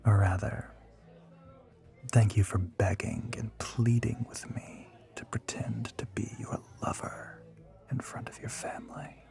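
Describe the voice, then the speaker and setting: A man voicing an ancient, suave vampire in an intimate romantic audio roleplay, teasing and possessive, with a breathy whisper and formal, condescending phrasing. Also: on the low side, steady tone, unhurried, darkish tone. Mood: wry, sincere.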